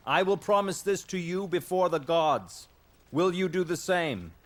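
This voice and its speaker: An actor playing an honorable, earnest warrior prince in a video game, speaking in a formal, declamatory way. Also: male voice, high-pitched, lively intonation, unhurried. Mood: solemn appeal. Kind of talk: dramatic game cutscene acting.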